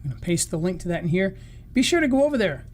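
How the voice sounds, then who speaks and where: Man, high voice, livestream host, rambling casual talk, losing his voice. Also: sing-song, very fast. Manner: loose and rambling, frequent restarts. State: starting to lose his voice. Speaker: host of a live marketing session. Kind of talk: casual live-stream wrap-up.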